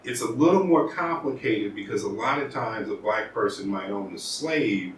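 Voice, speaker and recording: American man, law professor in an interview, lower voice, thoughtful, restarts often, roomy echo, set back from the mic, faint room hiss. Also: expressive, normal speed. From United States. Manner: thoughtful, with frequent restarts and repeated words. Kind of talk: conversational interview. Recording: echoey room, mic at a distance.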